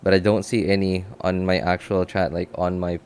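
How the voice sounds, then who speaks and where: Low-voiced man thinking aloud. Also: flat delivery, a little mellow, a little hiss or hum. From Philippines. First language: Cebuano. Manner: halting, thinking aloud with many fillers and restarts. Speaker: game streamer. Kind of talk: casual live-stream chat.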